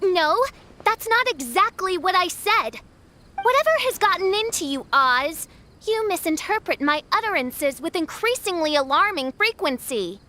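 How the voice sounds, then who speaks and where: A woman's voice: extremely high pitch, expressive, moderate pace, bright, forceful tone, exaggerated voice. A woman playing a theatrical young girl in an anime game who talks like a pompous princess in archaic, melodramatic phrases. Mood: haughty. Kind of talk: anime video game voice acting.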